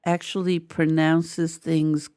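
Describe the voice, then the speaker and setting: An older woman with a mixed Midwestern, New York and Southern accent and a trace of Spanish, talking patiently like a teacher in a quiet room. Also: low voice, little pitch movement, takes it slowly, dry recording, studio quality. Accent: hybrid of Midwest, New York and Southern with a trace of Spanish. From Midwestern United States. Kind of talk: casual conversation.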